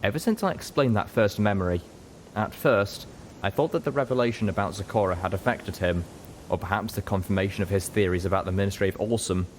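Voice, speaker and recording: Very deep-voiced man narrating dramatically. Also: fast, slight background noise. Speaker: narrator, story reader. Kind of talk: dramatic first-person scripted narration.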